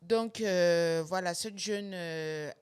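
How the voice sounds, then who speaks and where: A young woman from Gabon, a tired new mother, talking as a guest with many fillers, halting and laughing between phrases. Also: on the low side, clean tone. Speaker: singer guest. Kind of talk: casual interview talk.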